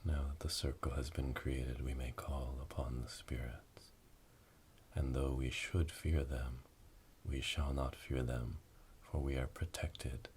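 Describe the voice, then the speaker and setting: A man as a slow whispering ritual witch. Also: rumbling low. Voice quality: whispered, breathy, unvoiced. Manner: slow, solemn, incantatory, monotone. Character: solemn witch leading a dark initiation ritual. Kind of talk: whispered ASMR roleplay. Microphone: binaural microphones, close to the ears. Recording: close binaural recording with clear background noise.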